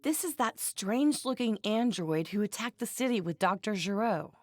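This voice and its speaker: An actress playing a plainspoken, teasing young woman in an English-dubbed anime game, with a casual, expressive manner. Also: medium pitch, normal speed, no echo, clean studio sound, close to an everyday voice. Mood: casual, musing.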